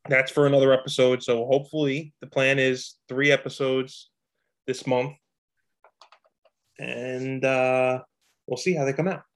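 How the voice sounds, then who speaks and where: A man's voice: medium pitch, flat delivery, a little slow, long pauses. A man co-hosting a podcast in a loose, rambling casual chat, often breaking into laughter. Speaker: podcast co-host.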